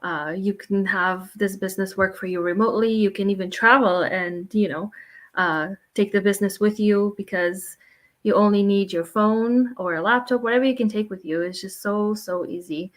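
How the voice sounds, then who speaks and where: A woman's voice: mid-range voice, normal speed. A woman moderating a group video call. She thanks each speaker and talks with many "uh" fillers.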